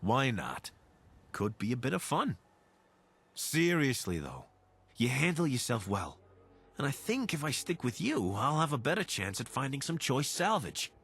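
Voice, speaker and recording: An actor playing an affable, curious wasteland scavenger in a video game, casual, wry and eager to team up. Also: male voice, mid-range voice, wildly swooping pitch, unhurried, creaky, fairly bright tone, husky, heavily acted. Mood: upbeat, eager. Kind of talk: video game companion dialogue.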